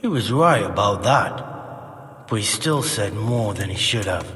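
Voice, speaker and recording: A man's voice: on the low side, expressive, unhurried, a bit bright, big-room sound, a little hiss or hum. A man playing a ruthless, mocking medieval bandit recruiter in a video game, with a blunt, coarse, sardonic way of talking. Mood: suspicious, testing. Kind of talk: video game cutscene acting.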